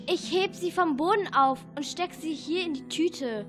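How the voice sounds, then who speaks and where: A woman's voice: very high-pitched, a little slow, music in the background. A young female performer playing an indignant, earnest girl defending herself in a children's radio play. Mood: indignant, defensive.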